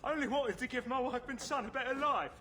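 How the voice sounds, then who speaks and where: An actor voicing a desperate, humble miner in a video game, pleading and deferential with rustic turns of phrase. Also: male voice, very high-pitched, fast, pushed and bright, a little hiss or hum. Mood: sorrowful. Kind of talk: video game cutscene acting.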